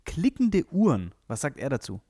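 A man's voice: mid-range voice, wildly swooping pitch, normal speed, mellow, no room sound, studio quality. A young man co-hosting a casual podcast chat in German, blunt and profane, prone to ranting. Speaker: podcast co-host.

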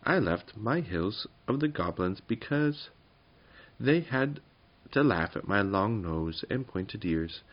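A man's voice: fairly low pitch, lively intonation, takes it slowly, muffled recording. A man voicing a tall, friendly, modest male goblin in a breezy storybook manner.

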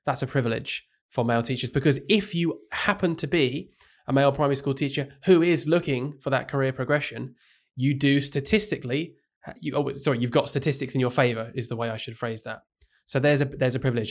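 A man co-hosting a casual podcast, laying out his reasoning in a measured way. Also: mid-range voice. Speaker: podcast co-host, teacher.

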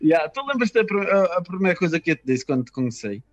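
A man's voice: fairly high pitch, sing-song, quick pace, muffled recording. A man from Madeira with a Madeiran Portuguese accent, a comedian chatting casually in a teasing, joking, slangy way. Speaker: stand-up comedian. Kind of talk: casual bantering chat.

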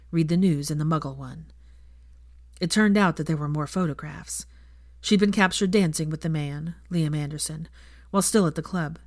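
Low-voiced woman narrating a story. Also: dry recording, studio quality. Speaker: audiobook narrator.

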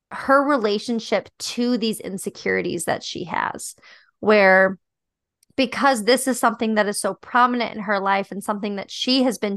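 A young woman with a high voice talks through a dating show in a thoughtful, reflective way, often pausing on "um" and "like." Her pitch sits in an ordinary middle range. The recording is close and dry, with no room sound. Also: a bit bright, no echo. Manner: thoughtful, reflective, many "like" and "um" fillers. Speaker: podcast co-host. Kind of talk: casual chat about a dating show.

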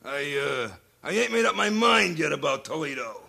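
An actor playing a gruff, stubborn American cynic with a gravelly, raspy voice and slangy speech in a comic police drama parody. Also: male voice, on the high side, very animated, normal speed, bright, forceful tone, exaggerated voice. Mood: defiant. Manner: slangy, double negatives, stubborn. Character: gruff grudging male cynic who believes in nothing.

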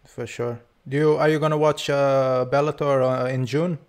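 A man's voice: mid-range voice, fairly even intonation, moderate pace, smooth. A young man hosting a podcast, laid-back and loose, chatting casually with his guest. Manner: laid-back, laughing along, loose and crude. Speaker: podcast host.

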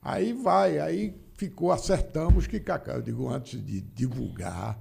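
A man's voice: medium pitch, measured pace, gravelly, mellow, breathy edge. A man in his seventies, a politician guest in a studio podcast interview, telling anecdotes and acting out the dialogue in them, often repeating his phrases. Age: 70s. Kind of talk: casual interview storytelling.

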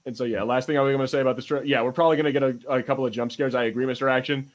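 A man co-hosting a podcast, chatting casually in a halting, rambling way with repeated false starts. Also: mid-range voice, steady tone. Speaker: podcast host. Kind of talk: casual pop-culture banter.

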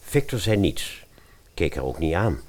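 Deep voiced guy narrating a story, super lively up and down delivery. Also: unhurried. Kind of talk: expressive scripted storytelling.

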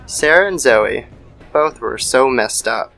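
A man with a medium-pitched voice narrates a scripted horror story. He tells it like an old-time radio show, with clear music running underneath. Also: lively intonation, takes it slowly, bright, forceful tone. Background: background music.

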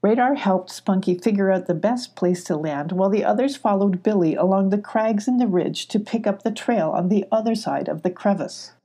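A woman's voice: mid-range voice, normal speed, hardly any pauses, dark tone. A woman reading a children's story aloud as an audiobook narrator.

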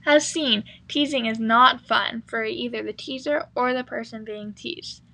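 Bright, high-voiced female children's podcast host. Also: a little slow, creaky, rough recording. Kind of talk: scripted children's podcast talk.

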